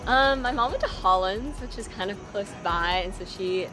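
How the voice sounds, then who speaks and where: A woman's voice: medium pitch, lively intonation, moderate pace, rough recording. A young woman from Florida, a college student, chatting casually to camera. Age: young adult. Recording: music bed behind the voice.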